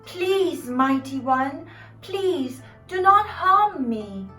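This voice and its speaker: A woman voicing a gentle, terrified young village girl who pleads dramatically in a radio play. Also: high-pitched, expressive, very slow, voice pushed up, heavily acted. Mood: frightened pleading. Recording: echoey room, off mic, music behind.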